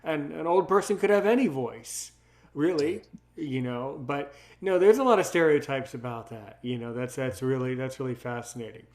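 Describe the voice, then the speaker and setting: A man's voice: medium pitch, normal speed, darkish tone. A man hosting a casual interview podcast, emphatic and often repeating his words and phrases. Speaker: podcast host.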